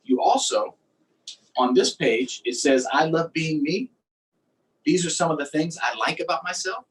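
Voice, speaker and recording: A man's voice: a little high, expressive, normal speed, recorded from a distance, low-quality recording. A man hosting a storytime, reading aloud and chatting simply and encouragingly with young children. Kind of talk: read-aloud and casual chat to young children.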